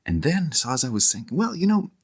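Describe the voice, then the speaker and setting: A middle-aged man, an academic author, explaining conversationally as an interview guest, haltingly repeating words as he thinks aloud. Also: mid-range voice, very animated, husky.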